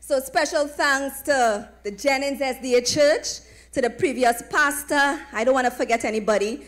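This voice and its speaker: High-voiced Trinidadian woman preacher. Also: normal speed. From Trinidad and Tobago. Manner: call-and-response, asking listeners to affirm. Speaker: evangelist, guest preacher. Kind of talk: preaching, welcoming a congregation. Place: tented church platform with draped backdrop. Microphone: handheld microphone.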